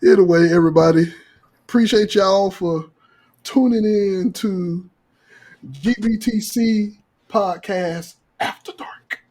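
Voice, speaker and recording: A man's voice: high voice, slow pace. An American man hosting a podcast, telling comic stories among friends in a profane, slangy way and acting out what people said. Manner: animated, profane, slangy, acts out quoted exchanges. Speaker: podcast host.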